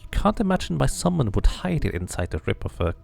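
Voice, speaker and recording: A man's voice: mid-range voice, sing-song, fast talker, creaky, darkish tone. A man doing a casual game playthrough, musing to himself and to viewers and reading narration aloud.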